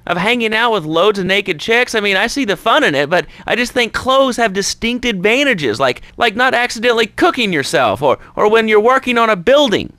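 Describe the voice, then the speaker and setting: An actor playing a wry, sarcastic talk radio host who banters with callers on a phone-in show. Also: male voice, sits high, very animated, a little fast, few pauses, pushed and bright, exaggerated voice. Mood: sarcastic, bemused.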